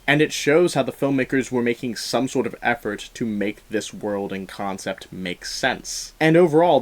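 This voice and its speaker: Casual male film reviewer, mid-pitched voice. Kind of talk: opinionated movie review commentary.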